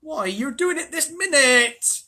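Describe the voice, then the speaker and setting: A narrator acts a grumpy storybook fellow, male, fretting and blunt. The voice is pushed up and slightly husky, urgent in delivery. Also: medium pitch, animated, moderate pace, fairly bright tone, pitched above the performer's own voice, exaggerated voice. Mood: bellowing. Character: anxious, grumpy male companion of a young king.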